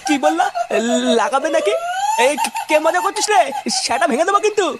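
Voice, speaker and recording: A grumbling cartoon village fellow, voiced by a woman, speaks high and very fast. He curses freely and spooks easily, over clearly audible background noise. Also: expressive. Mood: frightened. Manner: cursing, stammering when scared. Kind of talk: cartoon voice acting.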